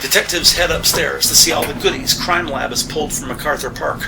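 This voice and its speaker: An actor narrating a police procedural audio drama as a measured, factual male storyteller. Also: on the high side, vocal fry, noisy background.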